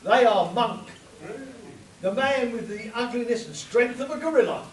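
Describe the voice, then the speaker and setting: Male narrator, theatrical spoof radio style, lively pitch, distant mic, noisy room. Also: normal speed, reverberant. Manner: theatrical, jokey. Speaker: announcer and narrator. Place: convention hall before a live audience.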